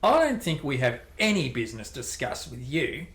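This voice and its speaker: A man's voice: mid-range voice, very animated, fairly quick, a bit bright. A man playing a blunt, terse male club partner in an amateur radio play. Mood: gruff. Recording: roomy echo with clicks and noise.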